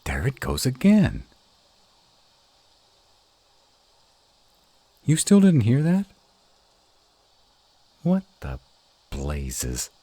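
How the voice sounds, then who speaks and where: Casting: male actor for an uptight, protective male were-dragon in roleplay audio; slow, halting, widely swinging intonation. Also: medium pitch, warm tone, no room sound, exaggerated voice. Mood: alarmed, indignant. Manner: halting, dramatic, slow delivery with emphatic outbursts. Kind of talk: intimate roleplay audio drama.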